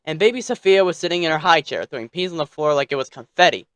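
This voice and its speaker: A man's voice: a little high, lively intonation, quick pace, fairly bright tone, no room sound. Man narrating a bedtime story, dry recording.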